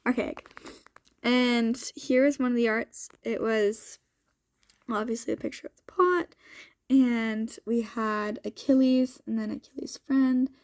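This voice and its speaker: High-pitched sniffly young woman, casual vlogger. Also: steady tone, unhurried, a little mellow, no room sound, clean studio sound. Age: young adult. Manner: informal, thinking aloud. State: sniffly from a cold. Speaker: student vlogger. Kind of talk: casual chatty vlog walkthrough.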